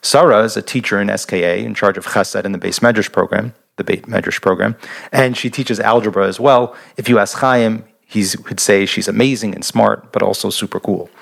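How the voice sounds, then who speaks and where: Male podcast host, deep-ish voice, eager and chatty. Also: fairly quick, vocal fry. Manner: enthusiastic, repeats words and restarts often. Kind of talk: casual interview chat.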